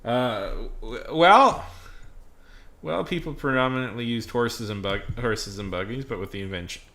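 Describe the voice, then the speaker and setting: A man in his thirties chatting casually on a livestream in a rambling, excitable, self-deprecating way. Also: mid-range voice, normal speed, echoey room. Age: 30s. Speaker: livestream host.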